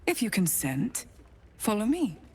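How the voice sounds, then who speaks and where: An actress playing a stern female gatekeeper of a shadowy cult in a video game, speaking in a measured, formal, ominous, hushed way. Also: mid-range voice, very animated, slightly rough, exaggerated voice. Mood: solemn, stern. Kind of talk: dramatic video game acting.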